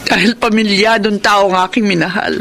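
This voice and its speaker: A woman playing a middle-aged mother in a Filipino radio drama, confessing secrets in a solemn, deliberate, sorrowful way. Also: mid-range voice, steady tone, slight background noise, lightly acted. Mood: solemn, confessional. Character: older mother confessing long-kept secrets, firm and sorrowful. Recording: loud dramatic music behind the voice.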